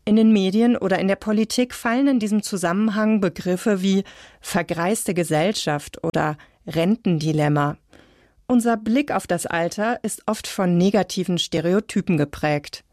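A woman reading a scripted science narration for radio in a measured, clear way. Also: mid-range voice, a little mellow, no echo, studio quality.

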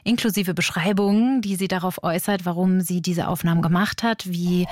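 A woman's voice: fairly low pitch, steady tone, brisk, no room sound. Woman presenter with a dry sound. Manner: clear, explanatory summarizing. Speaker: radio presenter. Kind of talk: scripted narration.

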